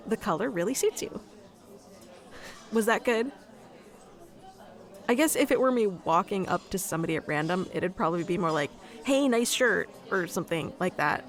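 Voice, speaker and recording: Shy, awkward young woman, as acted by a woman. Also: mid-range voice. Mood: shyly pleased. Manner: hesitant, self-conscious, rambling, stammering, giggly. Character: shy, nerdy young woman, awkward stranger in coffee shop. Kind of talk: ASMR roleplay, one-sided conversation with listener.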